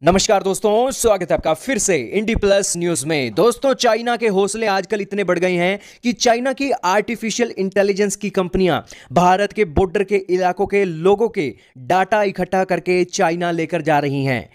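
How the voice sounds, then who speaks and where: A man's voice: high voice, moderate pace, few pauses. A man with an Indian accent presenting an online news explainer, emphatic, often repeating points and posing questions to viewers.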